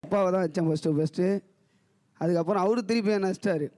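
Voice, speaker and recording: A man's voice: high voice, rapid-fire, very noisy. A young man talking boastfully as a talk show guest. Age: young adult.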